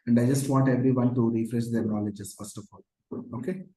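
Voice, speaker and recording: A hoarse man teaching a live online coding class, explaining patiently with many fillers like "okay" and "uh". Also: mid-range voice, fairly even intonation, fairly quick, dark tone. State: hoarse from shouting. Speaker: programming instructor. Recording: roomy echo.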